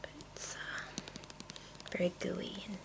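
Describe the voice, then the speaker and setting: A woman making a casual ASMR video, speaking in a breathy, mostly whispered voice with a halting, rambling delivery. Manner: hushed, unhurried, halting. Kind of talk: ASMR, rambling product show-and-tell.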